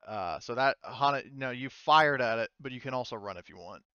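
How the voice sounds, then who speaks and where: A man's voice: medium pitch, lively intonation, fairly quick, pushed and bright, clean tone, dry recording, dull audio. A man working as game master, talking casually through rules and scene narration in an informal way.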